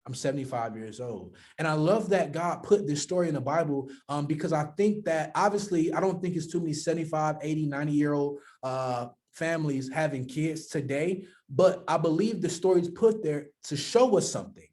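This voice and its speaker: A man's voice: fairly high pitch, brisk, hardly any pauses, darkish tone. A man preaching a sermon in a conversational way, reading scripture aloud and then explaining it, often repeating his key phrases.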